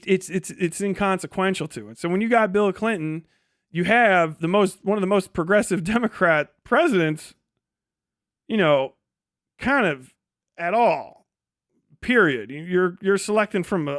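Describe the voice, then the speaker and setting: A man's voice: sits high, a bit bright, no room sound, clean studio sound. A man in his forties on a livestream, casually ranting about politics in an irreverent, rambling way with heavy swearing. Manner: heavily profane, irreverent, rambling. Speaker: podcast host and streamer.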